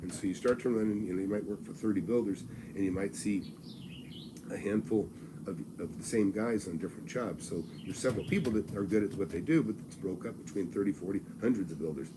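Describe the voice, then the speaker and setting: Older male host in his sixties, low-pitched and plainspoken, pitching mentorship live over audible background noise. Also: fast talker. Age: 60s. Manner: blunt, folksy and salesy, with frequent restarts. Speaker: real estate mentor and channel host. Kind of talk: live-streamed advice talk.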